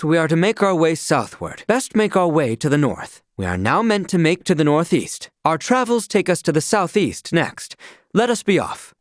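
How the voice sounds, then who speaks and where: A man's voice: a little high, dry recording, clean studio sound. A man voicing a dutiful, stern young attendant to royalty in a video game, speaking in formal, archaic phrasing. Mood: brisk, purposeful. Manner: formal, archaic, courtly diction. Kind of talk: video game character voice lines.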